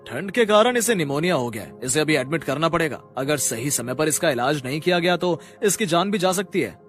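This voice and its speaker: A man playing a calm, kindly hospital doctor in a cartoon moral story. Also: a little high, fairly quick, soft background music. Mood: grave.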